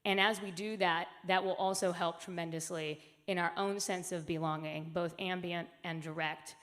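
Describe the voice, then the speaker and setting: A woman's voice: fairly low pitch, steady tone, moderate pace, a bit bright. A woman speaking earnestly at a conference, with emphatic phrasing and frequent fillers. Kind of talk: keynote talk. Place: conference hall.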